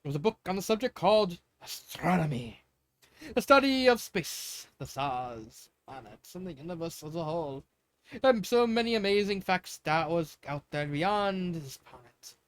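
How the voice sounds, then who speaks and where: A man's voice: high-pitched, expressive, moderate pace. A man reading fanfiction aloud in a casual, informal way, stumbling over words and correcting himself as he goes. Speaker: fanfiction reader, channel host. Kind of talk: casual read-aloud of fanfiction with off-script asides.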